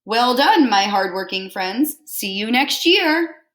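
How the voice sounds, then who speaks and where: A woman reading the part of an encouraging village schoolteacher in a storybook, speaking to pupils with deliberate emphasis. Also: a little high, animated, fairly bright tone, roomy sound, low-quality recording. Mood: proud, encouraging. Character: encouraging female village schoolteacher praising her young pupils.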